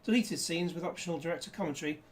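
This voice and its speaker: Man, rambling, jokey asides, slightly high voice, faint room hiss. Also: racing. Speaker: disc reviewer. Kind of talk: casual unboxing chat.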